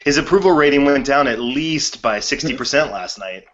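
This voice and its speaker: A young adult man chats casually as a podcast guest. His pitch is average for a man, and the video call makes him sound a bit muffled. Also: lively intonation, normal speed, fairly bright tone. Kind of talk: casual chat. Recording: dull video call audio.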